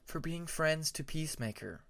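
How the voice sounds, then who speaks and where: A man voicing a gentle, courteous older female dragon diplomat in an audiobook. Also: fairly high pitch, lively intonation, normal speed, vocal fry, no room sound. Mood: gracious, tired.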